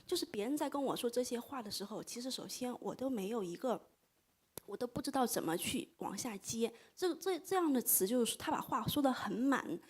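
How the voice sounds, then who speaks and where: A woman in her thirties whose first language is Chinese, asking a panel a question from the audience, soft-spoken and hesitant. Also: on the high side, expressive, fairly quick, slightly rough. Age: 30s. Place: bookstore event space.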